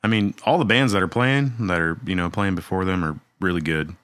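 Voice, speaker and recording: Podcast co-host, male, thirties: low and bright, rambling and laid-back, dry close recording. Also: brisk, smooth, dry recording. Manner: relaxed, rambling, many fillers and restarts. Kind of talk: casual chat.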